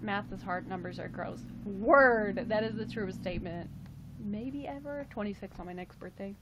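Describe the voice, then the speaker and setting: Late-twenties woman livestreamer, chatty and jokey, mid-pitched, faint room hum behind. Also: moderate pace, lo-fi sound. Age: 20s. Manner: chatty, jokey, with playful high exclamations. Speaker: livestream host. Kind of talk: casual livestream chat reading viewer comments.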